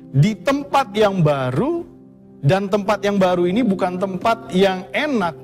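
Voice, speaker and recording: A pastor preaching a sermon to his congregation, addressing them again and again. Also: sits high. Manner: preaching to the congregation, often calls them brothers and sisters.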